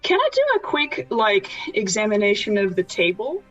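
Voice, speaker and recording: A woman's voice: medium pitch, big pitch swings, normal speed, fairly bright tone, dull audio, faint music behind. A woman talking casually as a player in a tabletop role-playing game.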